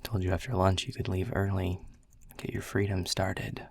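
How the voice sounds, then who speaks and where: A man's voice: flat delivery, moderate pace, heavily acted. An actor playing a world-weary, sarcastic male middle manager who grumbles and teases dryly. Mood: dry grumpy. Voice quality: low gravelly husky voice. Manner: sarcastic, grumbling, dry teasing. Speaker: boss giving a farewell speech. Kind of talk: audio roleplay acting. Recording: dry close mic.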